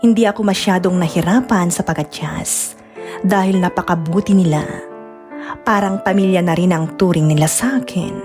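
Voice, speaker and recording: A woman reading a first-person letter in a calm, measured storytelling way. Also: lowish voice. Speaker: narrator. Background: music.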